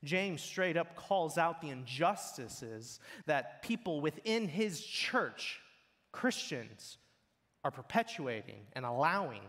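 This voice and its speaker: A man's voice: a little high, very animated, slow pace, fairly bright tone. A man preaching a sermon in an earnest, blunt way that builds in intensity. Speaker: pastor. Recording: long hall reverb.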